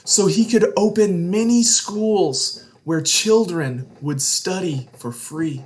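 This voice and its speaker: A man reading a picture book aloud to children as a read-along host, with deliberate, emphatic phrasing. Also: high-pitched. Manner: deliberate phrasing, emphatic, explains words to young listeners.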